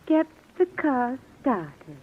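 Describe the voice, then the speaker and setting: An actress in an old radio drama playing a sweet, naive, timid sister, eager to please. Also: female voice, high voice, very animated, very slow, slight background noise, exaggerated voice. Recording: muffled old radio recording.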